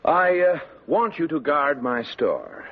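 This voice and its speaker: An actor playing a haughty, condescending male tailor in a 1950s radio drama, speaking in a sneering, drawn-out way with a husky voice. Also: fairly high pitch, big pitch swings, heavily acted. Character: snobbish haughty male tailor, middle-aged. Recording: dull old radio broadcast.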